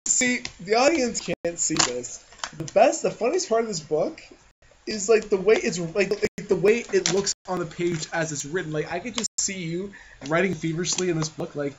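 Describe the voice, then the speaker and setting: Male co-host, sarcastic and sweary, tossing in casual joking remarks with chuckles; clearly noisy recording. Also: high-pitched, lively intonation, normal speed. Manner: sarcastic, foul-mouthed, chuckling. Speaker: podcast co-host. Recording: noisy, cluttered home podcast recording.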